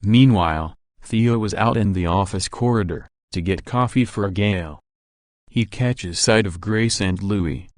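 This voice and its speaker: Low-pitched male story narrator, dry sound. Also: darkish tone, dry recording.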